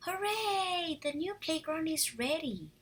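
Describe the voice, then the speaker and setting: A woman teaching young children through a picture-book read-aloud, animated and encouraging, pausing to ask questions, with a husky, breathy voice. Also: extremely high pitch.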